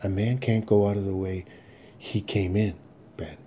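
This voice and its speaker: A man's voice: on the low side, steady tone, moderate pace, warm tone, muffled recording, slight background noise, lightly acted. A man voicing a desperate, rambling aging salesman who pleads insistently and repeats himself. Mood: urgent, pleading.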